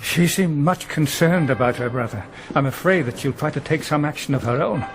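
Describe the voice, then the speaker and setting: An actor playing a courteous, cautious, worried medieval monastic superior with a British accent, speaking formally in a radio drama. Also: male voice, on the high side, lightly acted. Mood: concerned. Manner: formal, concerned, deliberate.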